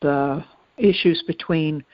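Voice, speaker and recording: Earnest woman, halting and deliberate, dull recording. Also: deep voice, no echo. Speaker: podcast co-host. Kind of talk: reflective conversational discussion.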